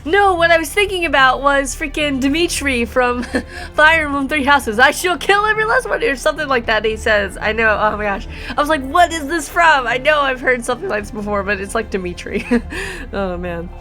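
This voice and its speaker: A woman doing a casual let's play, reading game dialogue aloud and reacting with excitable, self-deprecating asides. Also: sits high, moderate pace.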